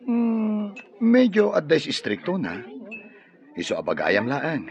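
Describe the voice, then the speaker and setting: An actor playing a devoted, trusting young boyfriend in a radio drama, tender and eager to please. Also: male voice, a little high, wildly swooping pitch, slow, creaky, lo-fi sound, heavily acted. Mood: tender, earnest. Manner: tender, earnest.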